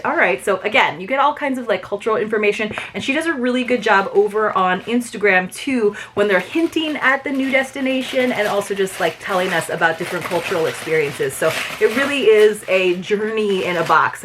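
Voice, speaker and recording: A woman's voice: medium pitch, fairly bright tone. Chatty woman unboxing a box. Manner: chatty, many "like" and "you guys" fillers; enthusiastic. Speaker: subscription box reviewer. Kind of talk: casual unboxing vlog, reading booklet aloud.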